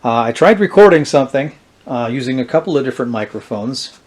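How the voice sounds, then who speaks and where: A man with a mid-pitched voice presents vintage electronics in a casual demonstration style. He is unhurried and halting, working out his thoughts aloud as he explains the item in front of him. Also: expressive. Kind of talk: casual product demonstration vlog.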